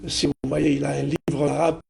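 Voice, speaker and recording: An Algerian-born man with the flu, a charity president giving a halting studio interview and repeating his words as he hunts for them. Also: fairly high pitch, flat delivery, gravelly, darkish tone. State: congested, has the flu.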